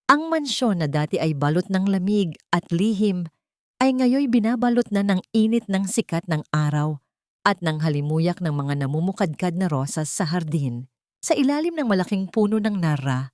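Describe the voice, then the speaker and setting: A woman storyteller giving a solemn, expressive scripted narration of a dramatic audio story. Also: lowish voice, no room sound, studio quality.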